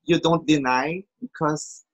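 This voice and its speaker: A man's voice: on the high side, steady tone, unhurried, fairly bright tone, no room sound, highs cut off. A man hosting an interview, carefully recapping the guest's points with hesitant fillers before asking questions. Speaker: interview host.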